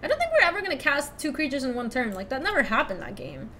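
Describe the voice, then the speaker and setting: A young woman from Ecuador chatting casually through a card game stream, thinking out loud and laughing often. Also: high voice, huge pitch swings, fast, slight background noise. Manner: chatty, giggly, thinks aloud to chat. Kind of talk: casual live-stream commentary.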